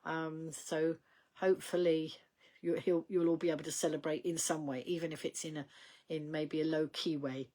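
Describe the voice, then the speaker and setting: An older woman hosting a casual livestream, rambling in a chatty way with many ums and small laughs, her voice going slightly croaky. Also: low voice, little pitch movement, normal speed, mellow. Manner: chatty, rambling, reads out viewer comments, frequent ums and laughs. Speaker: livestream host.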